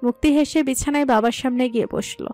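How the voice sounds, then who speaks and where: A woman narrating a romantic family story in a calm, even manner. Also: high-pitched, fairly quick. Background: soft music.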